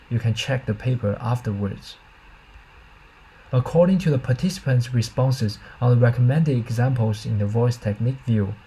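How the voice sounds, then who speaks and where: A man's voice: mid-range voice, mellow, husky, rough recording. A man reading a scripted academic presentation as a researcher, in a careful, formal manner with slight stumbles.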